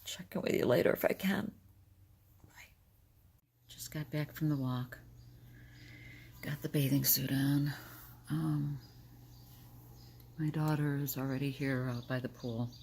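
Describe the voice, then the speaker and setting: An older woman with a deep, gravelly, smoker-like morning voice, tired and short on sleep, talking candidly in a rambling personal video diary. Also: steady tone, a little slow, pauses often, a little mellow, slight background noise. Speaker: vlogger.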